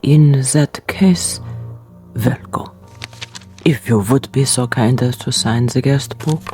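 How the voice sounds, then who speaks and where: An actress playing a wary, subdued German innkeeper haunted by the war, deferential and halting, in radio drama style. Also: female voice, very deep voice, dark tone, faint music behind. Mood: polite. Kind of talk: old-time radio drama acting.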